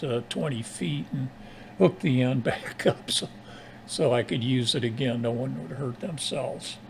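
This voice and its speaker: An American man with a creaky, gravelly voice chats casually as a ham radio operator. He talks in a halting, rambling manner. There is some faint noise behind him. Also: medium pitch. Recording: clearly noisy background.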